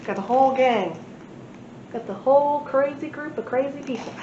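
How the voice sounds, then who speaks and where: A woman's voice: moderate pace, warm tone. A woman storyteller at a library reading a children's book aloud expressively. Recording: echoey room, muffled, noisy low rumble.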